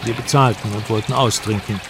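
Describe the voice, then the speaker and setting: A man's voice: lowish voice, fairly even intonation, a little fast, noisy background, lightly acted. A man playing a wary traveler who recounts a river journey in vivid, literary radio drama narration. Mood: recounting, watchful.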